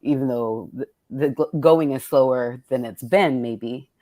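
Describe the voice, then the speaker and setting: A woman with a strikingly low voice chats informally as an interviewer. She feels her way through thoughts, breaking off and rewording them, and the sound is dry and close. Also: measured pace, mellow, no room sound. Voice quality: low-pitched. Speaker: poet hosting a conversation. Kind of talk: casual reflective interview chat.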